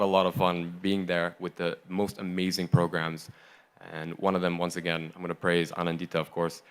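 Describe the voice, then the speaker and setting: A man with a very deep, smooth and resonant voice talks through a handheld mic on a festival stage. He rambles in a chatty, enthusiastic way as he tells a crowd about a tour. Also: fairly bright tone. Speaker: tour member giving a report on stage. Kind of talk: casual on-stage talk to a live audience. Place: large hall at a festival, on stage.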